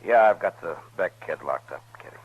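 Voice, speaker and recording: An actor playing a grave, weary frontier marshal in an old-time radio western, speaking tersely and somberly. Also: male voice, low voice, animated, brisk, slightly rough, phone-quality sound. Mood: thoughtful. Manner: terse, somber, plain-spoken.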